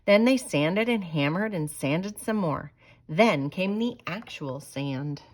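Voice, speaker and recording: A woman reading a children's picture book aloud in an expressive storytelling manner with deliberate phrasing. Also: on the low side. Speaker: storybook reader. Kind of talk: children's read-aloud narration.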